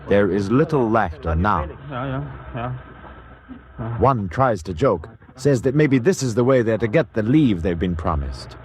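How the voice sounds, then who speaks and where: A man's voice: lowish voice, lively intonation, a little slow, long pauses. A man narrating a radio drama in a terse, detached, sober manner. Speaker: narrator and interpreter. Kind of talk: scripted present-tense radio drama narration. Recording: noisy, with clicks.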